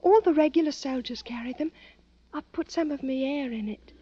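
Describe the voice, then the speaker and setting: A muffled old radio drama carries a very high, girlish voice acted by a woman. She is a working-class English sweetheart, young, anxious and devoted to her soldier. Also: fairly quick, dark tone, lightly acted. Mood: worried, tender. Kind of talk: 1940s radio drama acting. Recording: dull old radio recording.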